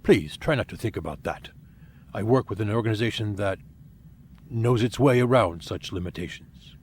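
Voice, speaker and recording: A man playing a grave, honorable alien warrior officer in an audio drama, speaking in a formal, measured and deliberate way. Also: on the low side, a little mellow, slight background noise, close to an everyday voice.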